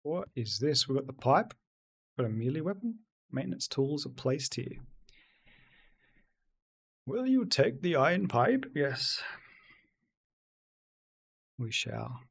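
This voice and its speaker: Gravelly-voiced male game streamer. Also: mid-range voice, very animated, slow pace, pauses often. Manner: chatty, reads and answers chat aloud. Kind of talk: casual let's-play commentary.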